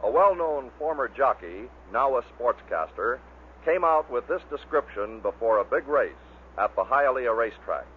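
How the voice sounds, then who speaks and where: A man's voice: mid-range voice, expressive, normal speed. An American man reading scripted narration that introduces a series of clips. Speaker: narrator of a bloopers record. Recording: tinny band-limited vintage record, faint noise.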